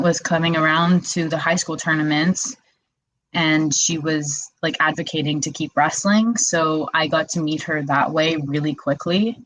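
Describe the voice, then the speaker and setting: A woman's voice: low voice, a bit bright, no echo, low-quality recording. A woman in her twenties from Quebec, a wrestler chatting casually as a guest and telling her story with lots of "like". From Quebec, Canada. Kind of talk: casual interview chat.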